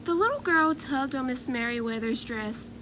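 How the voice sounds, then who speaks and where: A woman reading a children's storybook aloud in an expressive, measured narrating manner. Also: high-pitched, background noise. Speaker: storybook reader.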